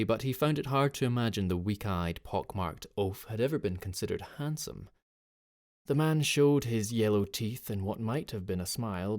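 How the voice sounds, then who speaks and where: A male audiobook narrator with a lowish voice. He reads scripted fiction in a dramatic storytelling style. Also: darkish tone.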